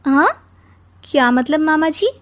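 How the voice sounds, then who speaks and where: A woman plays a spirited young daughter-in-law in a cartoon moral tale. Her high, swooping voice is cheeky, cocky and exclamatory. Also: expressive, slow. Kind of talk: cartoon moral story voice acting.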